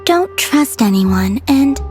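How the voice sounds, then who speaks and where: A woman voicing a gentle, otherworldly young woman in a video game, speaking in a calm, literal, innocent manner. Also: high-pitched, slow pace, over music. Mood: serene, earnest.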